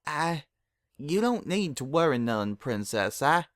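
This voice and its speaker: Storybook role: tearful, stubborn farm mare, acted by a man, pitched up, close dry sound. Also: sing-song, measured pace, a bit bright, dry recording. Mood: shaken, tearful. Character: distressed young country mare, stubborn and tearful.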